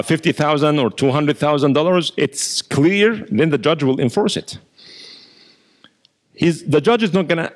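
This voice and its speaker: Man lecturing as an imam. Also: fairly high pitch. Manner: explanatory, repeats words while thinking. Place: mosque lecture hall.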